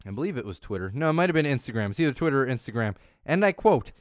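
A man hosting a casual news podcast in a studio, rambling and correcting himself as he goes, his voice a bit hoarse from losing it. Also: mid-range voice, big pitch swings, racing, dry recording. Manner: chatty, rambling, self-correcting. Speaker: podcast host.

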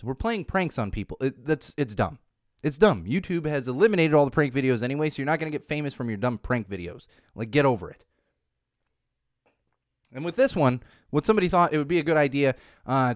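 A man's voice: mid-range voice, no echo, studio quality. Wry rambling man hosting a podcast. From Southern California. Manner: rambling, wry, self-deprecating, frequent restarts. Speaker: podcast host. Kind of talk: solo podcast monologue on social trends. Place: home studio.

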